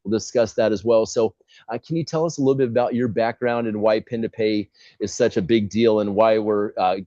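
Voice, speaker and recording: Low-voiced man rambling over lo-fi audio. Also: steady tone, a little fast, mellow, no room sound. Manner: rambling, many filler sounds, enthusiastic. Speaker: host. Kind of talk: casual interview chat. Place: indoors, Bali. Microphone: video call. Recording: dull lo-fi video call audio.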